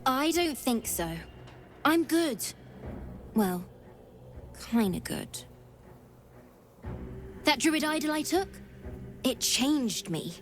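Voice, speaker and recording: High-pitched, scrappy young girl. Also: very animated, faint music behind, full character voice. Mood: earnest, halting. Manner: informal, childlike, halting speech. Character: plucky young orphaned tiefling girl, scrappy and earnest. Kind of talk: video game character dialogue.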